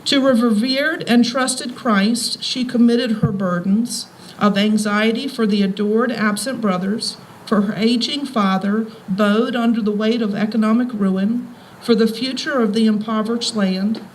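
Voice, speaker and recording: A woman's voice: fairly high pitch, unhurried, poor audio. American female, teacher addressing an event. Folksy, direct, informal reading aloud, live recording with faint noise. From Front Royal, Virginia, USA. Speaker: schoolteacher, heritage society president giving a reading. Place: live talk at a historic house tour.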